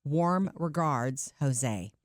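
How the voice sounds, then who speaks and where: Warm, reflective woman podcast co-host. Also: low-pitched, expressive, very slow, no echo, studio quality. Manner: warm, reflective, laughs easily. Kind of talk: casual chat, reading listener letters aloud.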